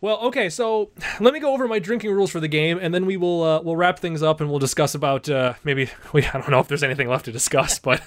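A man hosting a laid-back movie review podcast. His voice sits a bit high for a man. He rambles through his points and keeps breaking into giggles. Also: fast talker, hardly any pauses. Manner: giggly, rambling, laughs often. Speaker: podcast host. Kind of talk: casual movie review chat.

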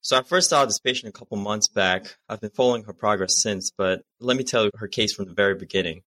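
A man's voice: fairly low pitch, quick pace, pushed and bright, dry recording. A man in his thirties from Malaysia, chatting and presenting as a medical podcast guest. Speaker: cardiology fellow, podcast guest. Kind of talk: conversational medical presentation and chat.

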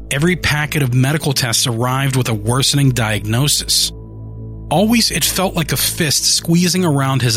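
A man narrating a horror short story in a measured, steady scripted reading. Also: mid-range voice.

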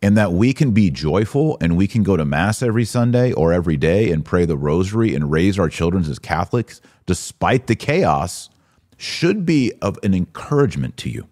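A man's voice: deep voice, big pitch swings, moderate pace, darkish tone. A man hosting a podcast, giving opinionated religious commentary in an emphatic, exhorting manner with rhetorical questions. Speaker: podcast host.

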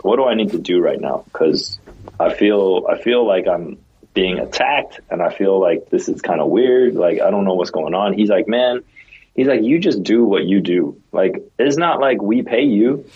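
A man with a very low, husky voice rambles casually through a story, full of "like" and restarts. His audio comes through dull and lo-fi. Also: animated, moderate pace. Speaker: podcast co-host. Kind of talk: casual podcast chat.

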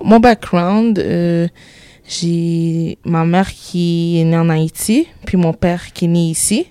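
Teenage Québécois girl, mellow and dry. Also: fairly low pitch, no echo. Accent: Québécois French. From Quebec, Canada. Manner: candid, chatty, mixing in English words. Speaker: student guest. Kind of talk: casual group discussion.

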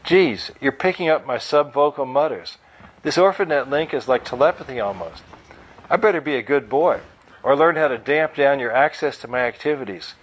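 A man's voice: mid-range voice, expressive, normal speed, dull audio, voice pushed up, lightly acted. A man voicing a chatty, wisecracking husband who gossips and jokes, with a forced folksy chuckle. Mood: joking. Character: joking, gossipy husband, nervous under the banter.

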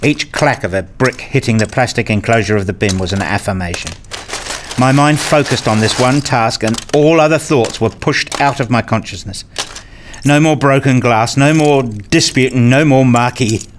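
Guy acting as a brooding hurt dad in a radio play, introspective, kinda deliberate, always justifying himself. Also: medium pitch, slight background noise, lightly acted. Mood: subdued, focused. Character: Rob, brooding, wounded, self-justifying father. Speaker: narrator and lead actor in a radio play. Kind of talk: radio play narration and dialogue.

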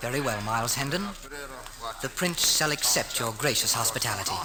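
An actor playing a haughty young English prince with formal, imperious speech in an old radio drama. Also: male voice, medium pitch, lively intonation, brisk, lightly acted. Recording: noisy vintage radio recording, sizzling hiss.

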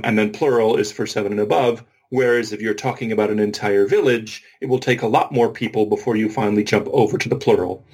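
A man co-hosting a podcast, explaining methodically and informally with examples and asides. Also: medium pitch. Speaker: podcast co-host. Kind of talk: informal explanatory discussion of linguistics.

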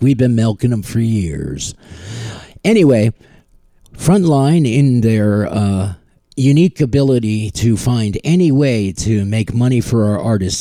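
A man hosting a music podcast in a casual, deadpan way, slipping in dry jokes and wry asides. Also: medium pitch, no room sound. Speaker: podcast host.

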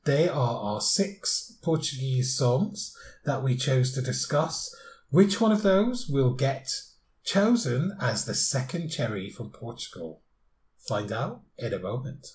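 A man's voice: mid-range voice, big pitch swings, slow, darkish tone, roomy sound. A man hosting a podcast, describing things in a casual, descriptive way with lots of fillers. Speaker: podcast host. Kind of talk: casual chat.

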